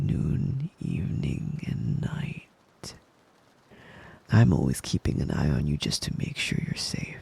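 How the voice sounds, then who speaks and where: A man playing a possessive, doting young wolf-boy lover in intimate ASMR roleplay, coaxing and teasing in a breathy, husky voice that often drops to a whisper. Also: fairly low pitch, dark tone, full character voice. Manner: slow, coaxing, teasing endearments.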